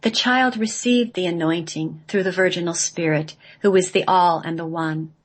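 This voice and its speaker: A woman reading radio play narration in a measured, solemn manner. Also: fairly low pitch. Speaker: guest, playwright reading own play.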